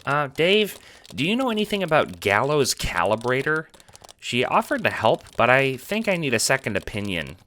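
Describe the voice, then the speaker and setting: Man voicing young prankster kid, naive, excitable, incredulous, casual, very animated intonation, audio drama. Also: medium pitch, moderate pace. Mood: doubtful.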